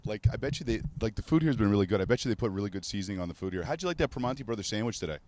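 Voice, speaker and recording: A man's voice: fairly low pitch, animated, rapid-fire, darkish tone, a little hiss or hum. A man from Montreal hosting a casual outdoor show by a city river, chatty and jokey. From Montreal, Canada. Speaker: host.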